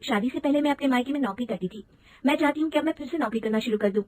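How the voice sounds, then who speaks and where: A woman's voice: high-pitched, rapid-fire, a little mellow, no room sound, voice pushed up. A woman voicing a hesitant, polite young wife in a cartoon story. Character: shy, clever young wife.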